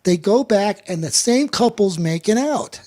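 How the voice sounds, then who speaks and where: A man with a noticeably high voice hosts a casual sports talk show. He tells anecdotes like an old hand at broadcasting, often doubling back on his words as he builds a story. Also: animated, a little slow, darkish tone. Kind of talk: casual chat and anecdote telling.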